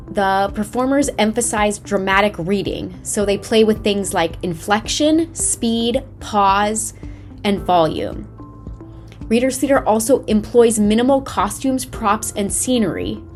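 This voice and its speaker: A woman narrating a scripted lesson as a drama instructor, measured, explanatory and carefully enunciated. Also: mid-range voice, sing-song. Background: background music.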